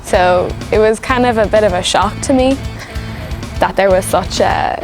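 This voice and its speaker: Female, twenty, medium pitch, measured interview talk, music bed. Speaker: architecture student being interviewed.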